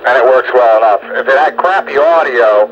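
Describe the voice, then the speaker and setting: A man's voice: mid-range voice, brisk. A man chatting casually and jokingly over two-way radio in a folksy way, as a radio operator speaking into a stock microphone. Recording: lo-fi two-way radio with loud static.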